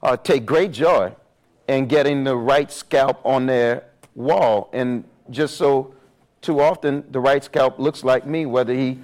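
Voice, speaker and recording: A man with a loud voice speaking on stage as a panelist, making emphatic points and repeating words as he builds his argument. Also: mid-range voice, takes it slowly. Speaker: panelist on justice reform. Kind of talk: impassioned panel talk. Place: on stage at a live event.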